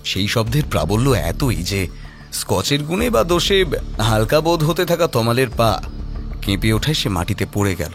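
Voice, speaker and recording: A man's voice: mid-range voice, very animated, normal speed, vocal fry, faint music behind. A man narrating a scripted story in a dramatic storytelling style.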